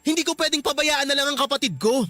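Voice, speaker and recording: A man's voice: very high-pitched, quick pace, pushed and bright, no echo, faint music behind. A man playing a conflicted young Filipino husband in a radio drama, hesitant, pleading and defensive. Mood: firm, pained. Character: conflicted young husband, apologetic, loyal to his brother.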